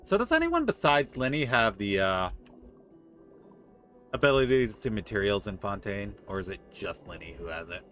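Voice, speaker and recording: A man with a slightly low, bright voice chats casually as he streams a game. His delivery is very lively and playfully exasperated, with faint music underneath. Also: normal speed. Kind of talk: casual live game commentary to chat.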